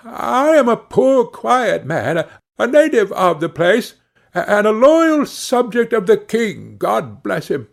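A man's voice: high-pitched, very animated, takes it slowly, creaky, hardly any pauses, slightly rough, voice pushed up, full character voice. A man voicing a humble, bewildered old man in a storybook, hesitant and plaintive. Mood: dismayed, pleading.

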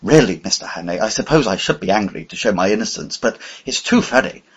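A man voicing a bewildered, polite elderly English gentleman who hesitates and stammers, his voice climbing high. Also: animated, fast talker, husky, muffled recording. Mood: flustered.

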